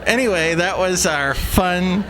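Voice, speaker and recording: A man's voice: sits high, unhurried, music in the background, poor audio. A man hosting a comedy radio chat show, talking in a playful, goofy, rambling way.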